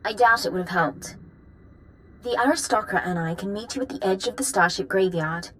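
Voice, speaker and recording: A woman's voice: mid-range voice, a little fast, fairly bright tone, slight background noise, close to an everyday voice, rough recording. Actress as a matter-of-fact female field officer. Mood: businesslike. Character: brisk, businesslike female military officer on field duty. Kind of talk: video game voice acting.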